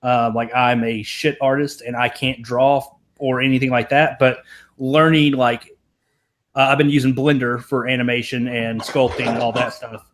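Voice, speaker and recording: A man's voice: medium pitch, little pitch movement, normal speed, a little hiss or hum. A young man from Tennessee with a Southern accent, a programmer talking as a podcast guest in casual, rambling, self-deprecating chat with casual swearing. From American South, Tennessee.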